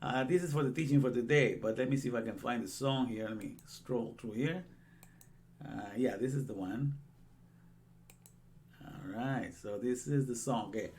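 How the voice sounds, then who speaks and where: A man's voice: mid-range voice, moderate pace, long pauses, darkish tone, slight background noise. A man in his sixties, from Guatemala, giving an informal religious lesson in a rambling way with many asides and repeated phrases. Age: 60s. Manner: rambling, repeats himself, frequent "you know". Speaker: religious teacher.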